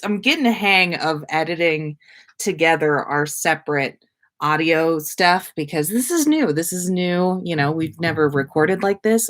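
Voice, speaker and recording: A woman with a somewhat low voice hosts a relaxed-format livestream. She takes her time, speaking very slowly as she responds to chat comments. Her wording is informal and slangy, with the odd swear word. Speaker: podcast host. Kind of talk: casual livestream chat reading viewer comments.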